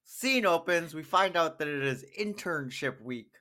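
A man with a bright, crisp-edged voice pitched a little high co-hosts a recap podcast. He narrates plot points wryly and leans theatrically into his punchlines. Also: expressive, unhurried. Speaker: podcast co-host. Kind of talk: animated episode recap with co-host.

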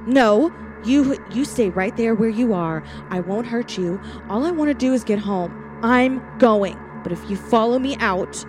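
A woman's voice: a little high, animated, unhurried, few pauses, music in the background. A woman playing a frightened young working woman held by a killer in a radio thriller, pleading, faltering and sometimes defiant, also narrating. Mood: shaky defiance. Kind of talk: classic radio thriller acting with first-person narration.

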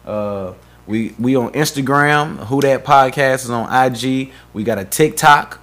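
A man co-hosting a relaxed podcast. He talks up the season's guests and pages in a loose, halting way, full of fillers and restarts. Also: medium pitch. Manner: hyped promo talk with many fillers and restarts. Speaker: podcast co-host. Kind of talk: casual podcast chat.

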